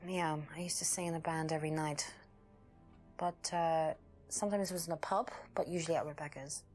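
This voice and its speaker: A woman's voice: deep voice, steady tone, a little fast, a little mellow, soft background music, natural voice. A woman playing a guarded, uneasy young witness in a mystery game, speaking hesitantly and defensively. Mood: reminiscing. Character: guarded young female witness, uneasy former band singer. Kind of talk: acted dialogue in an FMV mystery game.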